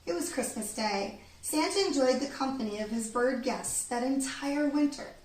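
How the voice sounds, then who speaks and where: A woman reading a children's storybook aloud in an expressive, deliberate storytelling manner. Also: high voice. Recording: echoey room, distant mic, background noise.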